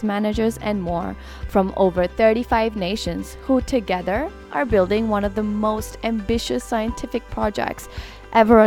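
Woman podcast host, mellow with slight creak. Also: medium pitch. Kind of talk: scripted podcast narration with interview questions.

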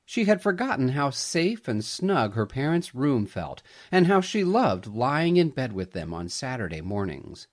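A man reading a story aloud as scripted narration, with an expressive, dramatic storytelling delivery. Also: mid-range voice, a little slow, hardly any pauses.

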